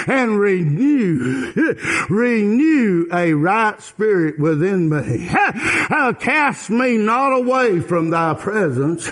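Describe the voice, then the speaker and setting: A man with a Southern US accent preaching a fervent, folksy country sermon in short repeated phrases, with sharp gasps between them. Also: high-pitched.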